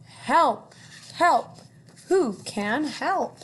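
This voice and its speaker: Stuck duck for a picture book, played by a woman: high-pitched, pleading, stretched-out cries. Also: expressive, heavily acted, low-quality recording. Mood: pleading, urgent. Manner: drawn-out, pleading calls. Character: stuck cartoonish duck, loudly pleading for help. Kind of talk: children's picture book read-aloud.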